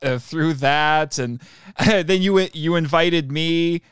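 Guy hosting a podcast, kinda high smooth voice, dry close sound, laughs a lot and fixes his own slips. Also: slow, a bit bright, no echo. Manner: chatty, laughs often, corrects himself. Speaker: podcast host. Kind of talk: casual podcast chat.

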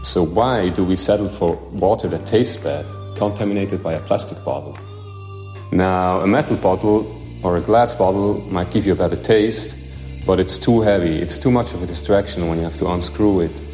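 A man from Switzerland giving an earnest, measured product pitch in a crowdfunding video. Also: a little mellow. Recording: roomy echo with background music. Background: music.

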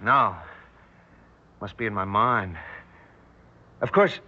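An actor playing a shaken, anxious scientist recounting a horror in urgent, halting 1960s radio drama style. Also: male voice, fairly low pitch, sharp tone, full character voice. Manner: urgent, haunted, halting delivery. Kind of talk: 1960s radio drama acting, narration and dialogue. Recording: dull vintage 1960s radio broadcast.

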